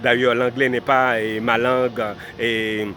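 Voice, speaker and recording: Man, Creole speaker, mid-pitched voice, formal address to viewers, repeats points, outdoors, faint street traffic behind. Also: level tone, a little slow, pushed and bright. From Haiti. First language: Haitian Creole. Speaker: vlogger giving life advice. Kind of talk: direct-to-camera advice talk.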